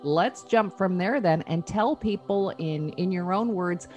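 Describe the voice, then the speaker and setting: A woman's voice: on the low side, a little slow, darkish tone, muffled recording, soft background music. A woman hosting a show and chatting with a guest in an interview style. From Canada. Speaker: show host.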